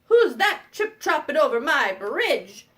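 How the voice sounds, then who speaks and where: A woman voicing a mean, hungry bridge troll in a storybook tale, loud, drawn-out and demanding. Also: sits high, big pitch swings, full character voice. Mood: menacing.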